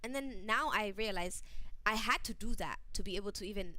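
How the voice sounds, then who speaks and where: A woman's voice: medium pitch, fairly quick, bright, forceful tone. A woman hosting a casual livestream, chatting away with plenty of "like" and "you know" and reading out viewer messages. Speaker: stream host.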